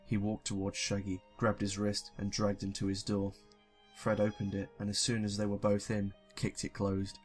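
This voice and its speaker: A man's voice: lowish voice, monotone, normal speed, a little mellow, soft background music. A young man reading a story casually, with sweary, self-deprecating joking asides. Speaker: YouTuber reading fan fiction.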